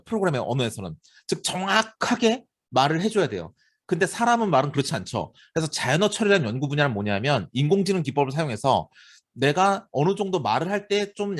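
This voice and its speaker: Lively Seoul-accented male lecturer. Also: on the high side. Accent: Seoul Korean. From Seoul, South Korea. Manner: lively, talkative, addresses students directly with rhetorical questions. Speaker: university lecturer. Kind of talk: classroom lecture.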